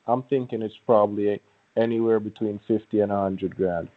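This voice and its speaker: A low-pitched man works through a persuasive phone pitch in a formally polite way. He hesitates and repeats phrases as he explains. His voice comes over a dull, band-limited telephone line. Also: steady tone. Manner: halting, repetitive, formally polite. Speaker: phone caller posing as a prize agent. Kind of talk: persuasive phone sales talk.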